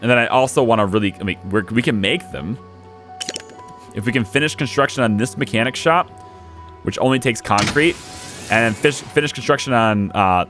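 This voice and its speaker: A young man hosting a let's play, chatting casually as he plays and talking to his units, a little hoarse from losing his voice. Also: medium pitch, a bit bright. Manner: chatty, thinks aloud, talks to his units. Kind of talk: casual gameplay commentary.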